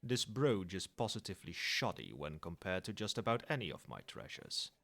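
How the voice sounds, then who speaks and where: Man as a proud old dragon in human guise, low voice, very animated, formal lofty phrasing, teasing, fond, ASMR roleplay. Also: normal speed, gravelly, full character voice. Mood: haughty, critical.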